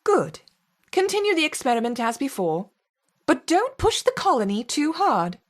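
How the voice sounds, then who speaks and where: Commanding woman scientist, high and expressive. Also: normal speed. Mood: cool, in command. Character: commanding female lead scientist overseeing an experiment. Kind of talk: video game character acting.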